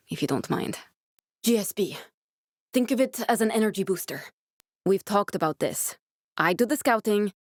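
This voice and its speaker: A woman's voice: mid-range voice, no room sound, studio quality, close to an everyday voice. A young woman actor playing a determined, guarded scientist in a video game, speaking in an earnest, serious way. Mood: businesslike, guarded. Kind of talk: dramatic video game dialogue.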